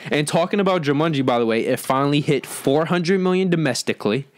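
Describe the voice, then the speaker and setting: A man's voice: fairly high pitch, animated, fast talker, no echo. Casual man, very dry close sound. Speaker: co-host of an entertainment podcast. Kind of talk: casual movie box-office talk.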